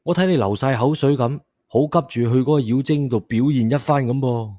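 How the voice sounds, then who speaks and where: A man's voice: medium pitch, a little fast, mellow, dry recording, studio quality, close to an everyday voice. A man playing a suave, well-dressed young man in an audiobook, scornful and teasing, with a Cantonese accent. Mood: mocking. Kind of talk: audiobook character acting.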